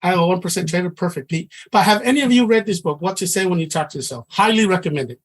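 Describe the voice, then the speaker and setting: High-voiced man teaching. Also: animated. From Samoa. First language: Samoan. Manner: earnest, conversational, halting with restarts. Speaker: trading instructor. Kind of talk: teaching a class.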